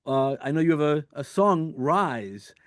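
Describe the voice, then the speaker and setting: A man's voice: fairly high pitch, animated, a little slow, dry recording, clean studio sound. A man hosting an interview podcast, talking in a rambling way with many restarts. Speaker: podcast host. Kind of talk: conversational interview.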